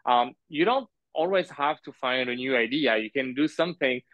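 A man who grew up in France, a podcast guest telling his story casually in a halting, rambling way full of asides. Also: mid-range voice, dry recording. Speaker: podcast guest, business owner. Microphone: remote call. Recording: dull lo-fi audio, close and dry.

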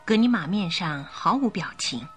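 A woman narrating a radio drama as scripted storytelling, with expressive, dramatic delivery. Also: on the low side, normal speed, over music.

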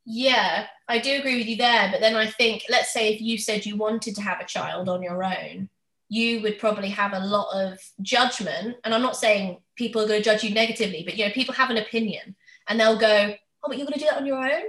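A woman in her twenties with a bright, forward voice, recorded in a roomy, echoey space. She talks casually and candidly at a middle pitch, like someone chatting openly with a friend. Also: fairly quick. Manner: chatty, candid, acts out others' questions. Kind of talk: casual conversational chat.

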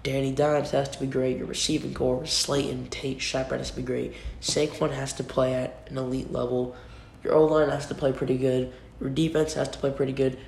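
Gravelly young man with a cold. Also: medium pitch, level tone, fairly quick, darkish tone. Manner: rambling, restarts often, informal. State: has a cold. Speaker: sports podcast host. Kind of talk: casual solo sports predictions. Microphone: computer mic. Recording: echoey room with faint noise.

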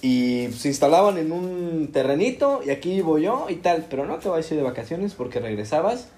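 A man's voice: on the high side, big pitch swings, a little fast, mellow. A Mexican man in casual chat, reflecting and explaining at length.

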